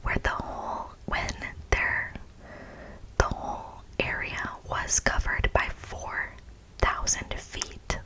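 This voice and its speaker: A woman whispering as an ASMR host, softly reading from a script with casual asides. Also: takes it slowly, low-quality recording. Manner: soft, unvoiced whispering.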